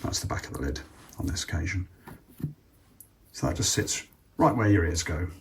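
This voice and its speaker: A man's voice: low voice, lively intonation, moderate pace, a little hiss or hum. A British man presenting a casual step-by-step installation demonstration, talking informally and unhurriedly as if thinking aloud. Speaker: presenter of a product installation tutorial.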